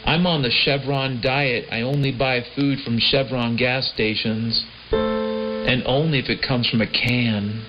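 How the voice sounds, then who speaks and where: Man rambling theatrically over loud music, lo-fi radio. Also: mid-range voice, steady tone, a little slow. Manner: theatrical mock-prophetic storytelling. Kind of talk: rambling improvised comic monologue. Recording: dull lo-fi shortwave radio recording. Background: prominent piano and keyboard music.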